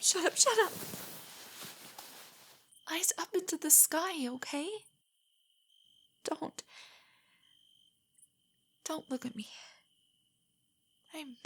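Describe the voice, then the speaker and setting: A woman playing a shy, nerdy young girlfriend in an intimate audio roleplay, soft and breathy, stammering with nerves. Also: high-pitched. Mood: flustered, embarrassed. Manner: nervous, stammering, hesitant rambling. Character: shy, nerdy, easily flustered young adult girlfriend on a date.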